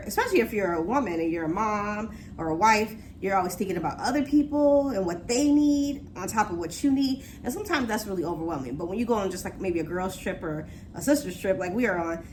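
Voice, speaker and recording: A woman in her late forties speaks in an easygoing, conversational way, laughing easily. Her voice sits at a middle pitch. Faint background noise is audible behind her. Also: low-quality recording. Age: 40s. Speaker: guest, vlogger's sister. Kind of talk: casual chat.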